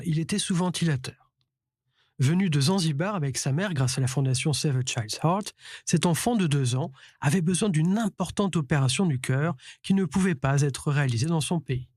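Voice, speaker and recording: A male reporter reading a scripted news voice-over in a measured, even way. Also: fairly high pitch, no echo, clean studio sound.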